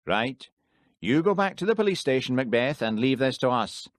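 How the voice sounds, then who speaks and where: A man voicing a brusque senior police detective, delivered loud and commanding in an audiobook reading. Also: medium pitch, big pitch swings, normal speed, no room sound, clean studio sound, voice pushed up, natural voice. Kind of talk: audiobook character voice.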